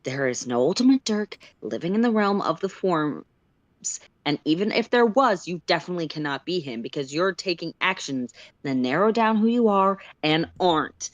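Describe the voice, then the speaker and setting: A woman's voice: on the low side, lively intonation, normal speed, no echo, muffled recording, close to an everyday voice. A woman playing an exasperated, sweary sylph philosopher who lectures and argues sarcastically in an amateur story reading. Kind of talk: amateur audio fiction read aloud live.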